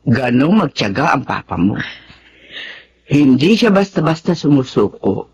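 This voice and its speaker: A woman's voice: extremely low pitch, sing-song, measured pace, a little mellow, breathy edge, dull audio, full character voice. A woman with a Filipino accent playing an affectionate, motherly character in a radio drama, speaking expressively. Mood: fond, nostalgic. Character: affectionate, encouraging Filipina mother, often ailing.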